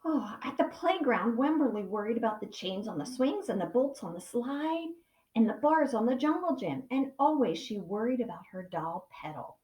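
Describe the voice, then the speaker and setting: A woman teacher reading a picture book aloud to young children, with dramatic emphasis and pauses to ask them questions. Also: fairly high pitch, very animated, darkish tone. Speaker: pre-K teacher. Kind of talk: expressive children's storybook read-aloud. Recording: echoey room sound.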